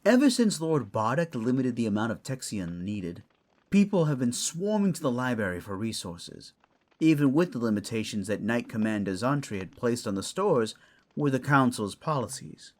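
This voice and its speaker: A man acts out a clawed, furry fantasy steward with a very animated, mid-pitched voice that rises and falls freely. He is a theatrical, scholarly tinkerer, given to grumbling and long explanations. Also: normal speed, lightly acted. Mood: earnest storytelling. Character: furred, clawed male creature, a roguish scholarly artifact-tinkering steward. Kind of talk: fantasy audio drama acting.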